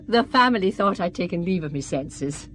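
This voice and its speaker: A woman's voice: fairly low pitch, lively intonation, moderate pace, fairly bright tone, slight background noise. An actress playing a chatty, friendly middle-aged Irish countrywoman in an audio drama. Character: chatty middle-aged Irish mother and weaver travelling abroad.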